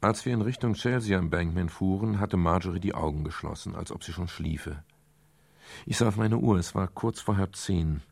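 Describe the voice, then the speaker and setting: Here is a hard-boiled male private eye in a radio crime drama. His voice is very low and gravelly, with a husky edge. He is dry, laconic and brusque, sardonic about the world around him. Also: normal speed, darkish tone, dry recording, full character voice. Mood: laconic, brooding. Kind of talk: 1970s German radio crime drama, first-person narration.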